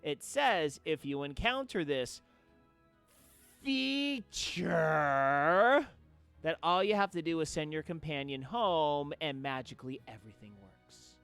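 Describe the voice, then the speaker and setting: A man streaming a game and chatting casually, telling stories with theatrical, drawn-out emphasis. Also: on the high side, lively intonation, fairly bright tone, smooth, soft background music. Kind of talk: casual chat, storytelling.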